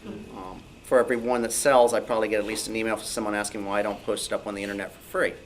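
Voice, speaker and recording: A man's voice: lowish voice, level tone, racing. Matter-of-fact man over faint noise. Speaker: author, guest speaker. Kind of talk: informal interview answers.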